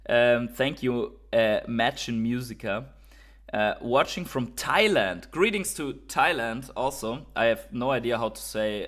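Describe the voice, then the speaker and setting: A man's voice: medium pitch, a bit bright. Male streamer, native German speaker with German accent, mid-range voice, enthusiastic and informal live commentary. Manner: enthusiastic, informal, reading out and answering viewer messages. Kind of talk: casual live-stream chat and market commentary.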